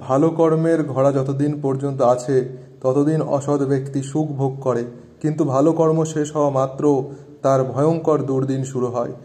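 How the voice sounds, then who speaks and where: A man voicing a wise, calm wandering holy man in a moral-tale cartoon, speaking in a measured, preaching manner. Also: mid-range voice, close to an everyday voice. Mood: solemn, reassuring. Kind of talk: cartoon voice acting.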